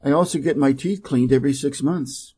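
Husky American man reading English drills. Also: brisk, dark tone. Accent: American Midwest. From Wisconsin, USA. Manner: reads both dialogue parts, raising his pitch for speaker A. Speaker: English teacher. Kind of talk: slow reading of practice dialogues.

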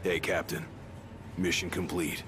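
A man voicing a big, tough, laid-back young bodyguard in a video game, gruff, casual and blunt. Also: deep voice, fairly even intonation, measured pace, noisy background. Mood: gruff, easygoing.

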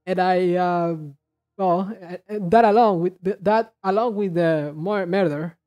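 High-voiced man, halting and rambling. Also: a little mellow. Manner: halting, rambling, repeats and restarts words. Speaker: reaction video host. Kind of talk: casual commentary on game trailers.

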